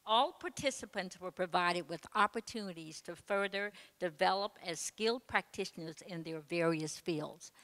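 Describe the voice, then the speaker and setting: A woman co-moderating a panel, reading a prepared introduction in a formal, measured way into a handheld mic in an art gallery. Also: lowish voice, a bit bright. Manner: formal, measured, list-like delivery. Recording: reverberant gallery room.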